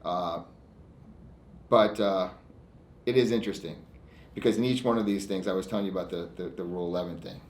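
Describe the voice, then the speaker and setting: A man's voice: low-pitched, fairly even intonation, a little fast, pauses often, a little mellow. Emphatic American man in an echoey room. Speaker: lawyer hosting his own online segment. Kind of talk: informal monologue to camera, reading out e-mails.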